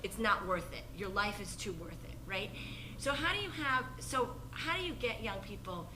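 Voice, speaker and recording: A woman of middle pitch speaks earnestly and conversationally, often restarting her sentences. Her voice rings in an echoey room, and clear background noise sits under it. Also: animated, moderate pace. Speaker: youth worker. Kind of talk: panel discussion answer. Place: lecture room.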